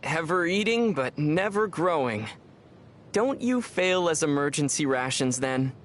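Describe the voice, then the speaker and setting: An actor playing a gentle, teasing young male adventurer in a video game, speaking in a measured, wry way. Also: a little high, lively intonation, a little hiss or hum. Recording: music behind the voice.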